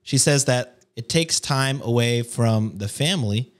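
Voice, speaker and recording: A man's voice: mid-range voice, a little slow. Joking male podcast co-host. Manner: jokey, teasing, playful. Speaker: podcast co-host reading stories. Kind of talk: casual chat.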